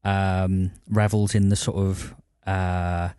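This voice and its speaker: A man's voice: sits low, flat delivery, slow, darkish tone, clear, resonant tone, dry recording, studio quality. A man interviewing a guest, asking a question in a hesitant, thinking-aloud way.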